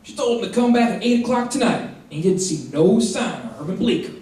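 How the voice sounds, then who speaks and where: An actor playing an earnest, timid frontier deputy in an old-time radio western, talking in a folksy, deferential way. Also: male voice, sits high, sing-song, quick pace, a little mellow. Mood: earnest, gloomy. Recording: echoey, distant old radio recording, music.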